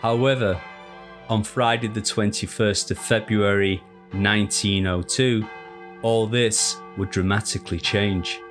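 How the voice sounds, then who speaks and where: A man reading a scripted ghost story in a measured, deliberate, formal storytelling manner. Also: on the low side, fairly even intonation, fairly bright tone. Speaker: narrator. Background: music.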